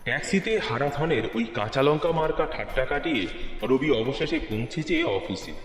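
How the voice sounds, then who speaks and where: A man's voice: medium pitch, expressive, moderate pace, faint music behind, natural voice. A man narrating a scripted comedy audio story in a dramatic, comic style. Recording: echoey, long reverb on voice.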